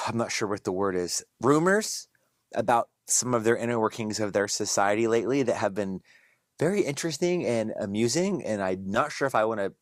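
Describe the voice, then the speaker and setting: A man hosting a podcast, talking in a relaxed, rambling way with frequent restarts. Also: mid-range voice, no room sound, studio quality. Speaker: podcast host. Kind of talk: casual conversation.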